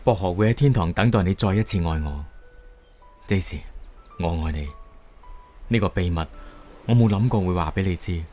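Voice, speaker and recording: A man's voice: low-pitched, moderate pace, long pauses, mellow, soft background music. An actor playing a tender, reserved young man in love in a radio drama, with a Hong Kong Cantonese accent. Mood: regretful, loving.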